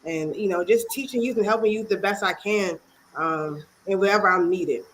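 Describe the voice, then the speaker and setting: A congested woman from Maryland, speaking as a guest author and youth advocate in an interview, earnest and halting with many pauses. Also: mid-range voice, slight background noise, rough recording. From Maryland, USA. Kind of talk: interview talk.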